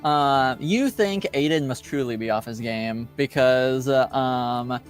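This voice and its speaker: Male game master, tabletop roleplaying, medium pitch, measured and halting rulings, faint music behind. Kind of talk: improvised tabletop game narration.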